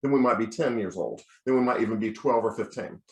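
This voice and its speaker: Male American lecturer, children's choir teacher, mid-pitched speaking voice, encouraging instructional delivery, audibly echoey recording. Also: fast. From United States. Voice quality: bass singing voice. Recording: roomy, echoing sound.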